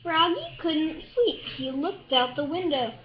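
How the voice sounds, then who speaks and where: High girly-pitched woman reading a kids book out loud, stumbles a bit, echoey room. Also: dull audio, slight background noise. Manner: halting, stumbles over and repeats words. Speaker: storybook reader. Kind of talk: children's picture book read aloud.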